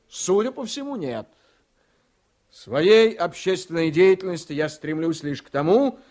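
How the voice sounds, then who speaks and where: An actor playing a self-righteous, combative male politician in a radio play, declaiming a public statement with emphasis. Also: sits high. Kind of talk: Soviet radio drama acting.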